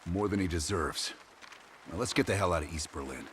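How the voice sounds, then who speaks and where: An actor playing a hard-nosed American intelligence team leader in a military game, cool and authoritative. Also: male voice, sits low, brisk, heavy background noise, close to an everyday voice. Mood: dry, grim. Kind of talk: cinematic military video game acting.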